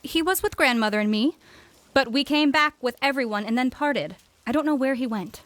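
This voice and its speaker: A woman's voice: sits high, lively intonation, fairly quick, fairly bright tone, dry recording. A woman playing a composed, polite, slightly prim young lady of the house in an audio drama. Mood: defensive. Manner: polite, controlled, a little prim.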